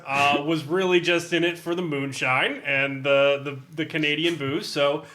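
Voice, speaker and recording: High bright-voiced guy riffing jokes on a podcast, casual chat. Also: steady tone, measured pace, slight background noise. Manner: jokey, riffing. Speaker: podcast co-host.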